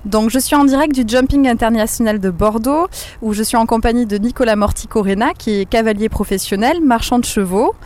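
Fast-talking woman reporter. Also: a little high, a little mellow. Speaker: field reporter. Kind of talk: interviewing.

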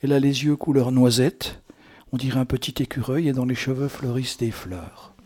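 Male radio host, mid-pitched, chatty and improvised, teasing and flowery, with a rambling theatrical streak. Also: normal speed, a little mellow. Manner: playful, teasing, flowery compliments.

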